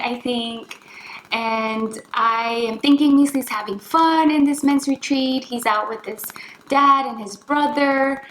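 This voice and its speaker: A woman's voice: high-pitched, fairly bright tone. A woman co-hosting a vlog, telling a story in a casual, chatty way. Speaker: vlog co-host. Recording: echoey room with faint music.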